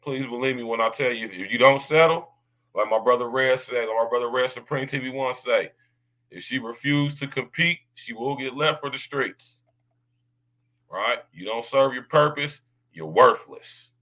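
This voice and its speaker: Male podcast host, deep baritone with a bright edge, loud drawling slang, keeps asking "right?". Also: moderate pace, rough recording. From United States. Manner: loud, drawling slang, repeats tag questions. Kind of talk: casual podcast monologue.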